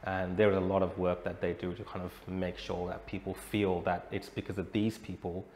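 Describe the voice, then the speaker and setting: A man's voice: low-pitched, level tone, fairly quick, darkish tone. An Australian man answering as an interview guest in a conversational way, often adding "you know". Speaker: interview guest, protest reporter. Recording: roomy echo, clear background noise.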